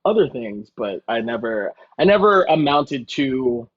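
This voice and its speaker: A man's voice: mid-range voice, big pitch swings, measured pace, highs cut off. A man chatting casually as a guest chef, telling stories in a joking, self-deprecating way. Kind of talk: casual conversational storytelling.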